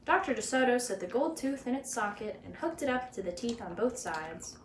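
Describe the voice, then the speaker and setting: A woman narrating a children's story as a reader, in an expressive storytelling style. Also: fairly high pitch, moderate pace. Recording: echoey room, faint background noise.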